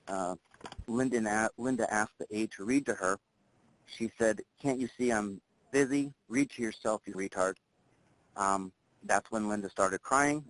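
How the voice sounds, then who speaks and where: A man's voice: lowish voice, a bit bright, lightly acted. A man playing a middle-aged dentist with an American accent, giving a hesitant, matter-of-fact witness report in a simulated phone call. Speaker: caller reporting an incident.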